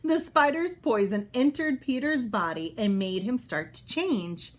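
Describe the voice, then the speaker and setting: A woman reading a children's book aloud as a storyteller, expressive and pausing to explain things for young listeners. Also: a little high.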